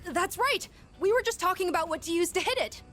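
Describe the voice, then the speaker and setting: Polite excited little kid boy, american, super high bouncy voice, video game with music. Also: a little fast. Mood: thrilled. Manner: excitable, earnest. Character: eager, polite young boy, easily excited.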